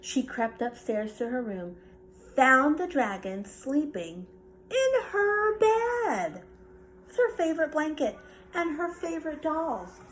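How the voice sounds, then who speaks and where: A woman reading a children's bedtime story aloud with expressive narration. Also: sits high, slow pace, a little hiss or hum, poor audio. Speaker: storybook reader.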